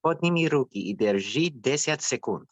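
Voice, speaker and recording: A man's voice: medium pitch, lively intonation, moderate pace, no echo, lo-fi sound. A man taking part in an online lesson, speaking in a casual, curious way. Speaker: trainee on the call. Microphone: remote participant on a video call.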